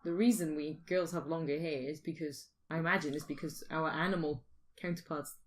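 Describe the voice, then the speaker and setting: A woman's voice: low voice, steady tone, fairly quick, a little hiss or hum. A woman podcast host in casual, comic banter, rambling a little as she thinks aloud. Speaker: podcast host posing the question.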